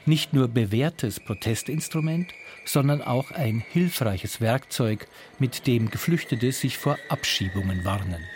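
A man reporting as a culture journalist, reading a scripted radio feature in a measured, descriptive way. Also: mid-range voice, background noise.

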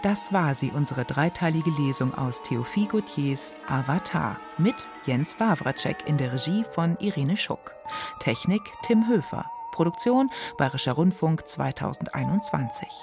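A woman hosting radio with a scripted presentation, speaking pointedly with deliberate dramatic pauses. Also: low voice, expressive. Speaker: radio host. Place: radio studio. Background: music.

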